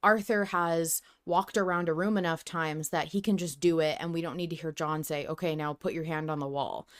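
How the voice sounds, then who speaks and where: A woman's voice: sits low, fairly even intonation, brisk, dry recording, clean studio sound. A woman hosting a casual fan discussion podcast, talking in an enthusiastic, informal way. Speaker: podcast host.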